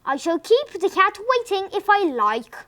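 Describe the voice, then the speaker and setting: A woman's voice: very high-pitched, big pitch swings, unhurried, pushed and bright, voice pushed up, exaggerated voice. A woman voicing a stubborn, grumbling elderly husband who is kind underneath, sounding exasperated.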